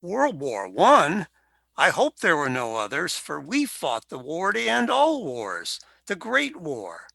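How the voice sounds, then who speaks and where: Brief: a man as a proud old war veteran's ghost, gravelly, lively in pitch. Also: takes it slowly, no echo, exaggerated voice. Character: male World War One veteran ghost, wistful, proud. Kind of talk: amateur radio play acting.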